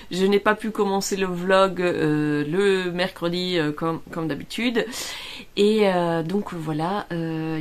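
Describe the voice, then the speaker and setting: A middle-aged woman from Italy with an Italian accent, chatting casually in a home vlog, hesitant and full of fillers, tired after being ill. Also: mid-range voice. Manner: hesitant, many fillers and restarts. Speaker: vlogger. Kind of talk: casual chat.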